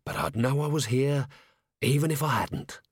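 A man voicing a gruff, sardonic, middle-aged police commander in an audiobook, with a clipped, commanding manner. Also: medium pitch, moderate pace, dry recording, clean studio sound, voice pushed up. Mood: mock cheerful. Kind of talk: audiobook character acting.

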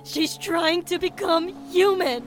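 A woman's voice: extremely high pitch, slow, faint music behind. A woman playing a vengeful siren, a menacing and protective sister, in an audio drama. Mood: furious.

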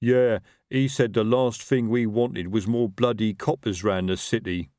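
A man voicing a matter-of-fact, easygoing troll with a rumbling voice in a storybook reading. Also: fairly even intonation, a little slow, darkish tone, no room sound, studio quality, lightly acted.

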